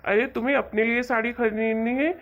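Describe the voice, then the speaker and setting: A woman's voice: fairly high pitch, fairly even intonation, brisk, dull audio, lightly acted. A woman doing a patient, exasperated cartoon husband. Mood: shocked exasperated. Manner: placating, mildly scolding. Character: patient, exasperated, budget-minded husband who gives in. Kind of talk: Hindi cartoon moral story dialogue.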